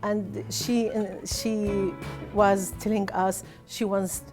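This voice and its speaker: A woman's voice: medium pitch, level tone, takes it slowly, over music. A woman giving an account of someone she met, speaking as an interviewee in a documentary.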